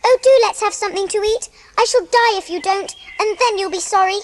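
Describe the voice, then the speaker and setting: A woman's voice: very high voice, brisk, slight background noise. A woman playing a whiny, easily frightened little sister with an English accent in a dramatised audiobook. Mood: whining. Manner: petulant, dramatic, plaintive.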